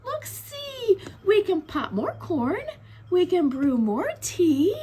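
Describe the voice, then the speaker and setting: A woman voicing a tiny, squeaky mouse in a children's storybook, gently reassuring. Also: big pitch swings, takes it slowly, slight background noise, full character voice. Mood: soothing.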